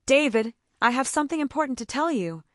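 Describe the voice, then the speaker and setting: A woman's voice: high voice, animated, quick pace, no room sound, studio quality, pitched above the performer's own voice. A woman storyteller voicing an anxious, earnest wife who pleads with her husband. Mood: nervous.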